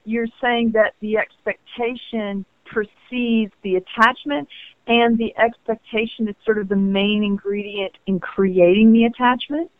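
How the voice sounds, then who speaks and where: Woman co-host, slightly high voice, encouraging, tinny phone sound. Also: no room sound. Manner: affirming, quick back-channel replies. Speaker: co-host and channel. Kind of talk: casual spiritual conversation.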